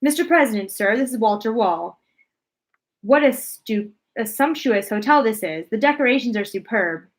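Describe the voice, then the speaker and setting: A woman's voice: fairly high pitch, animated, moderate pace, close to an everyday voice. A woman voicing an effusive, deferential male hotel manager from a storybook. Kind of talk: storybook read-aloud character voice.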